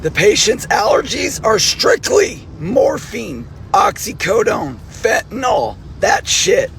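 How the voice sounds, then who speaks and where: A hoarse male nurse, losing his voice, giving an angry, profane video appeal and clearing his throat often. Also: high-pitched, sing-song, slow pace, a bit bright. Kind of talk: direct-to-camera video appeal.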